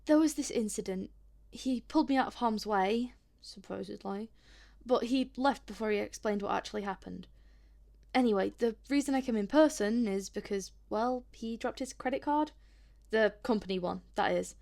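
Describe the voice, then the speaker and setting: A woman plays a polite but sceptical female visitor in an audio drama. Her voice sits fairly high, and she talks in a hesitant, rambling, slightly awkward way. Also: normal speed, creaky, a little mellow. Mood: hesitant, chatty. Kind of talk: audio drama acting, naturalistic.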